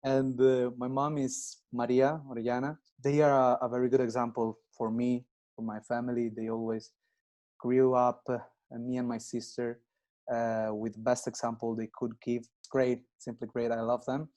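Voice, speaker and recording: A man in his twenties who grew up in Italy, speaking slow, halting non-native English as a guest with many pauses and restarts. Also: medium pitch, little pitch movement, mellow. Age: 20s. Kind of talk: casual interview chat.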